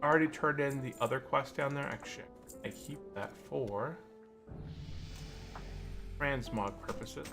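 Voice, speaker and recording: Male game streamer, medium pitch, casual rambling chat over music. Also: unhurried, creaky, pauses often. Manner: rambling, thinking aloud. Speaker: livestream host, gamer. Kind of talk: casual livestream chat while gaming.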